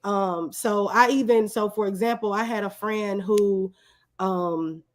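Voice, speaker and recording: A woman's voice: mid-range voice, steady tone, measured pace. A woman answering as an interview guest in a conversational, anecdotal way, acting out bits of dialogue as she talks. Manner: anecdotal, acts out quoted exchanges. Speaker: guest, videographer.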